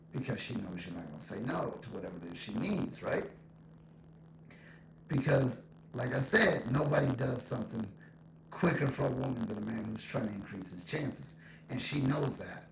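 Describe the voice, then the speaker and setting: A man's voice: medium pitch, animated, normal speed, creaky. A man giving a casual, conversational opinion monologue, repeating his points for emphasis, with an echo effect on his voice. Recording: echoing voice with faint noise.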